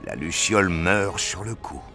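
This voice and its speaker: A man's voice: sits low, animated, a little slow, over music. A man reading scripted narration for a science fiction audio drama.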